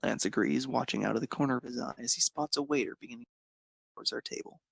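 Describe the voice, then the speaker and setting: A man with a creaky, husky voice narrating a romantic story expressively. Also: mid-range voice, fast talker, a bit bright, no echo.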